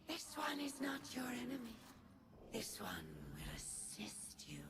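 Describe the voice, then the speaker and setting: Creaky, whispering alien witch. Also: high-pitched, huge pitch swings, heavy background noise, full character voice. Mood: solemn, cryptic. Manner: slow, ceremonial third-person speech. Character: solemn alien hive wizard, female, cryptic and devout. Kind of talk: video game voice acting.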